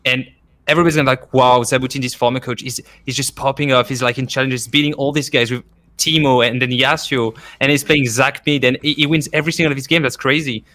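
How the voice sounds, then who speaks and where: A French-accented man with a very bright, forward voice. He talks as a guest in a casual debate, explaining at length and repeating key points for emphasis. His pitch sits in an ordinary male range. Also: steady tone, fast talker. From France. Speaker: former esports coach, podcast guest. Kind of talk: casual argumentative discussion.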